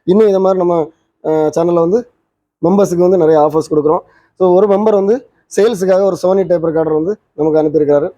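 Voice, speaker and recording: A man's voice: sits high, dark tone, no room sound. A man hosting his channel, casually demonstrating a vintage twin-cassette tape recorder and pointing out its parts. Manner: quick, pointing out parts as he goes. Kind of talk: casual product demo. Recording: dry, dull lo-fi sound.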